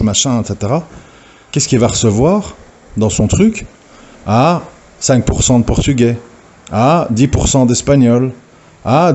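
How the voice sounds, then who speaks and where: A man's voice: medium pitch, darkish tone, a little hiss or hum. A man giving an informal religious talk, hesitant and full of fillers and restarts as he lectures. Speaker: religious preacher. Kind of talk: informal recorded audio talk.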